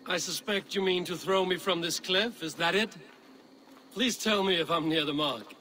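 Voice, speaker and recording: A proud Viking warrior in a video game cutscene. The male voice is forceful and slightly high, with a dry, skeptical, teasing manner and old-fashioned phrasing. Faint noise lies behind it. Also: normal speed. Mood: wry, skeptical.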